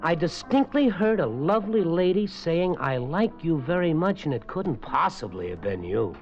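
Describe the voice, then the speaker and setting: A wary, earnest man with sharpened senses, narrating a 1950s radio play. His pitch rises and falls with feeling, and his voice comes through a dull old broadcast with faint music underneath. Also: normal speed. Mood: wry. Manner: earnest, thoughtful, lively in pitch. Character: man with trained super senses, reflective and wary. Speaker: lead actor and narrator. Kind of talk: 1950s radio drama acting.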